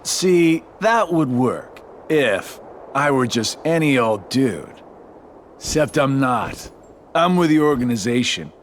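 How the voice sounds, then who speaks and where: Smug, drawling, swooping villain. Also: male voice, mid-range voice, expressive, takes it slowly, a little hiss or hum. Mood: smug, mocking. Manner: mocking, drawling, casual. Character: smug, teasing villain in a shadowy organization. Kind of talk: video game cutscene acting.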